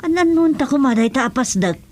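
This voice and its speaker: A woman's voice: high-pitched, lively intonation, brisk, darkish tone. An actress playing a chatty wife who admires and advises her husband in an Ilocano radio drama-comedy, with an Ilocano accent. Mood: concerned, chatty.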